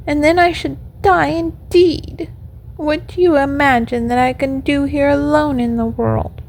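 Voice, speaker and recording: A woman's voice: very high voice, a little hiss or hum, voice pushed up, heavily acted, poor audio. A woman voicing an emotional wooden puppet boy from a storybook, plaintive and full of exclamations and questions. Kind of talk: storybook character voice.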